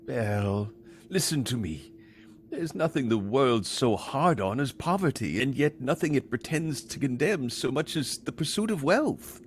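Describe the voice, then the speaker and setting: A man's voice: mid-range voice, lively intonation, a little slow, lightly acted. An actor playing an earnest, defensive, pragmatic suitor in his prime in a radio play, arguing through pointed questions. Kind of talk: theatrical radio play acting. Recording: noisy ambience behind the voice.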